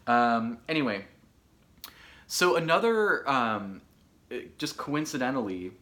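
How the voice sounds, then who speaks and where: A man in his thirties from Michigan, hosting a podcast in casual solo chat, chatty and a bit hoarse, with lots of filler words. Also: mid-range voice, animated, takes it slowly, bright, forceful tone. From Michigan, USA. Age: 30s. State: hoarse from allergies. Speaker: podcast host.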